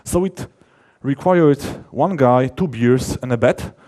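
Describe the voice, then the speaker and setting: A male conference speaker from his language and a relaxed, mellow timbre at an ordinary male pitch. He explains technical matters informally, leaning on key words for emphasis. His sentences often halt and restart as he rephrases. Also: slow pace. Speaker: conference speaker, software developer.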